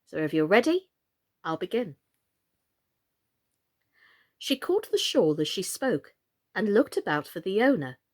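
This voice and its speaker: A woman reading a children's story as an expressive narrator. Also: mid-range voice, a little slow, pauses often. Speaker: storyteller and reader.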